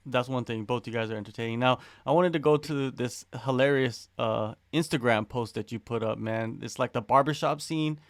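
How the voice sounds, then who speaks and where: A man asks interview questions in an easygoing, chatty way at a middle pitch. The sound is dry and close, with no room echo. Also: moderate pace, hardly any pauses. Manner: relaxed, conversational, says "man" often. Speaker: sports interviewer.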